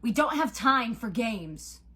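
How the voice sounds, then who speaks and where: A woman voicing an anguished, defiant teenage boy in a storybook with tense, forceful outbursts. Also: fairly high pitch, sing-song, takes it slowly, slightly rough, voice pushed up, full character voice.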